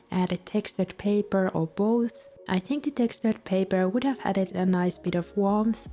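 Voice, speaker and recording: A woman with a Finnish accent speaks gently in a calm voice-over. Her tone is mellow and relaxed at a mid pitch. Soft music plays faintly beneath her voice. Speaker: bullet journal vlogger.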